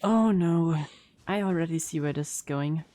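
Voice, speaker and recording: A woman's voice: low-pitched, moderate pace, dark tone, no echo. A woman giving casual, wry and sceptical commentary as she plays and reacts to a game. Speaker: streamer playing and reacting to a game.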